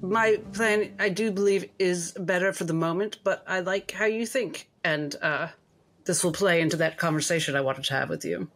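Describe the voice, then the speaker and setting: A woman voicing a shrewd, genteel female doctor with an arch, measured, commanding manner. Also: on the low side, fairly bright tone. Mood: wry.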